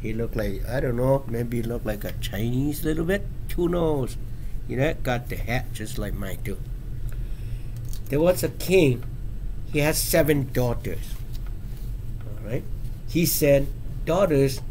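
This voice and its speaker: A man reading a picture book aloud to children, with halting, simple phrasing and casual asides to check that listeners follow. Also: medium pitch, lively intonation, a little mellow, rough recording. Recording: faint background noise.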